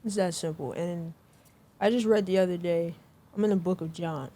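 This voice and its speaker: Calm co-host with mellow voice. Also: medium pitch, normal speed. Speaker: podcast co-host. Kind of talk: casual faith-centered chat.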